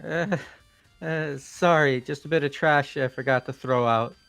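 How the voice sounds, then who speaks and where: Guy doing a goofy shifty young dude from a game, highish voice, bumbles along, faint music. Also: unhurried, vocal fry, fairly bright tone, smooth. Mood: flustered, shifty. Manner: hesitant, bumbling, casual. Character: goofy, evasive, superstitious young man, bad liar. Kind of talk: hobby video game voice acting.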